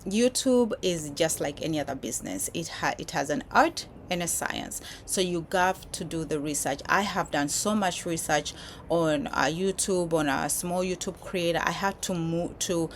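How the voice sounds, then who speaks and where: Woman creator, low voice, rambling casual vlog delivery, faint noise behind. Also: moderate pace. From Uganda. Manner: rambling, many restarts and fillers. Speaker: YouTube creator. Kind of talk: casual talk to camera. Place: filming while traveling abroad.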